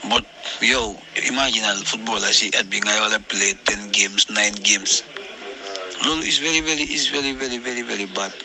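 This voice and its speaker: A man's voice: fairly low pitch, brisk, a crowd in the background, rough recording. A man with a Gambian accent in a panel discussion, speaking emphatically and repeating words for stress.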